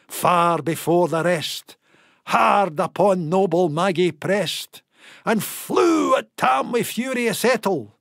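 Slow, gravelly Scottish man reciting verse. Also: on the high side, no room sound, studio quality. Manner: theatrical, expressive storytelling delivery. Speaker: poetry reader. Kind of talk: dramatic recitation of a narrative poem.

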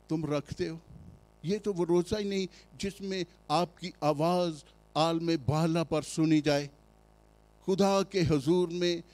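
A male pastor with a Pakistani Urdu accent, preaching a sermon with emphatic rhetorical questions and frequent hesitant pauses. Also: fairly high pitch, a little mellow.

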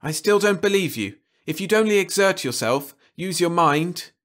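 A man voicing a bitter, volatile man stranded on an asteroid, shouting and agitated, in a science fiction story reading. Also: high voice, lively intonation, a little fast, voice pushed up. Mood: angry.

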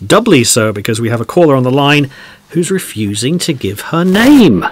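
A man's voice: medium pitch, sing-song, moderate pace, a little hiss or hum, lightly acted. A man playing a polite, chatty, slightly bemused podcast host in an audio drama.

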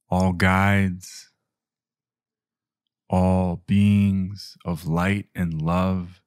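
Male meditation guide, low register, very unhurried, gentle hushed phrasing with long pauses. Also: dry recording, clean studio sound. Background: music.

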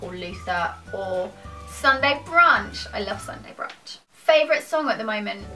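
A woman's voice: mid-range voice, pushed and bright, distant mic. A British woman in her twenties chatting casually to camera in an informal, self-deprecating, rambling way. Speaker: YouTuber answering questions to camera. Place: small furnished room at home, indoors.

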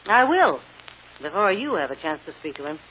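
A woman's voice: on the low side, very animated, normal speed. An actress playing a domineering, suspicious female minder in an old-time radio drama, interrogating in a menacing way with condescending, falsely motherly endearments. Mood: cool, pressing. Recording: muffled old radio recording with hiss.

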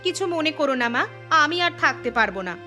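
A woman voicing a young married daughter in a cartoon who speaks quickly and pleads. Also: high-pitched, expressive, bright, forceful tone, soft background music, voice pushed up. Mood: explaining. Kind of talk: cartoon voice acting.

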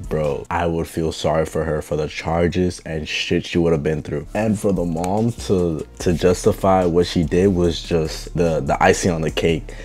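A man's voice: low-pitched, mellow. A young man with a congested, stuffy voice, giving slangy, blunt reaction commentary into a headset mic. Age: young adult. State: congested with a sore throat. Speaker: reaction video host. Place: bedroom studio, indoors.

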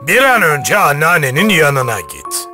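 A man's voice: a little high, wildly swooping pitch, measured pace, over music. A man voicing a burly, bold, protective hunter in a children's cartoon fairy tale, hearty and emphatic. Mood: concerned, helpful.

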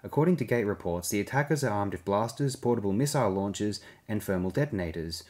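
A man's voice: lowish voice, animated, fast talker, a little mellow, lightly acted. A man voicing a fussy, prim protocol droid with a formal, deferential and perpetually surprised manner in an audiobook. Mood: huffy, precise.

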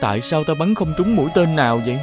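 Man playing a high-voiced, determined young archer. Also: lively intonation, quick pace, over music, close to an everyday voice. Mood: frustrated, determined. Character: determined young village archer, dutiful son. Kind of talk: animated fairy tale dubbing.

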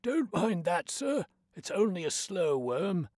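A man voicing a lanky, cranky old male animal keeper with shrill, querulous, working-class speech. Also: big pitch swings, slow pace, darkish tone, no echo, clean studio sound, exaggerated voice. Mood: chatty, fussing.

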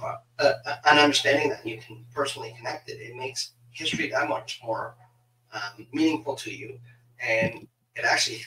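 Middle-aged man, roomy distant sound. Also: mid-range voice. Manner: halting, repeats words as he goes. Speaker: podcast host. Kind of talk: casual interview chat. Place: small home office on a video call. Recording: bright, roomy, distant mic with hiss.

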